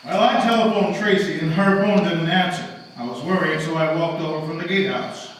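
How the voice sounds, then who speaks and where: Man acting a high-voiced, blustering suitor, echoey. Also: brisk, close to an everyday voice. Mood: suspicious. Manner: blustering, sputtering. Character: stuffy, suspicious, indignant jealous male suitor. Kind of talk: old-time radio play acting, performed live. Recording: echoey live stage, distant mic, faint noise.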